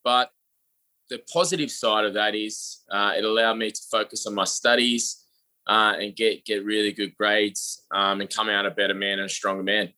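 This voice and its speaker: A young Australian man, a podcast guest, telling his story in an upbeat, energetic way with frequent fillers. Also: on the low side, moderate pace, rough recording. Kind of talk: casual interview talk.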